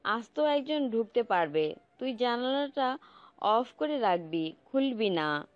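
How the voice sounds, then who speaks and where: A slightly muffled, dry recording with music underneath carries a middle-aged mother played by a woman. Her voice is fairly high and swings animatedly as she briskly nags and fusses in an audio drama. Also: sing-song, clean tone, dry recording. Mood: mildly scolding. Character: fussing middle-aged mother of a grown daughter. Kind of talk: Bengali romantic audio story acting. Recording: slightly dull close recording, music bed. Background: background music.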